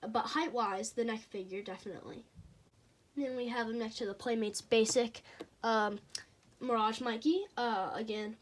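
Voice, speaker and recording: A teenager with a childlike voice, reviewing toy figures in a casual, hesitant way with frequent pauses and fillers. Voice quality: childlike, high voice.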